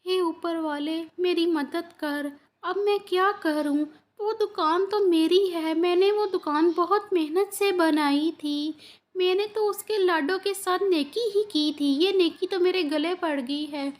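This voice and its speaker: A woman voicing a kind, hardworking female bird who sells bangles in a cartoon story, speaking gently. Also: very high voice, measured pace. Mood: pleading. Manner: gentle, pleading.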